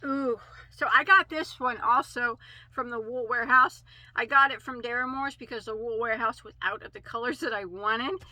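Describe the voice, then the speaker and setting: Bright-voiced Midwestern woman chatting about yarn. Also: a little high, normal speed, dry recording, rough recording. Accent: American Midwest. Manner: chatty, rambling, many fillers and self-corrections. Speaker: crochet channel host. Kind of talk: casual yarn haul review.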